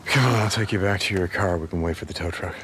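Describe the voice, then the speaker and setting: A man's voice: low-pitched, quick pace, a bit bright, slight background noise. An actor playing a laid-back, flirtatious biker in his thirties, casual and wry, with an American accent. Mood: concerned. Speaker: video game character. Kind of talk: naturalistic dramatic game acting. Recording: motorcycle engine and outdoor ambience behind.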